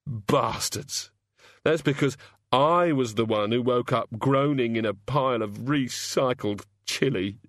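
A man voicing Bilious, a queasy, pitiful male god of hangovers who groans and mumbles haltingly. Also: mid-range voice, no echo, studio quality, lightly acted. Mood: groaning.

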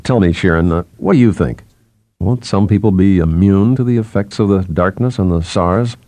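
Man reading as wry, nervy, mellow-voiced man. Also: low voice, normal speed, no echo, lightly acted. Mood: questioning. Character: wry, nervy man resigned to looming catastrophe.

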